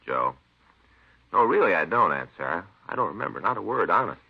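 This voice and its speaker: A man's voice: sits low, very animated, fairly quick, creaky, breathy edge, heavily acted. An actor playing an embarrassed, polite policeman, hesitant and pleading, in an old radio drama. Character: embarrassed, polite policeman visiting his doting aunt. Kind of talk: 1950s radio drama acting. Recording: dull old radio recording.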